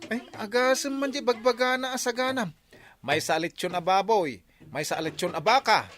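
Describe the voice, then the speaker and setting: A man's voice: sits high, moderate pace, a bit bright. A man playing an eager, nosy village friend in a comedy radio drama, with an Ilocano accent. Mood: eager, chummy.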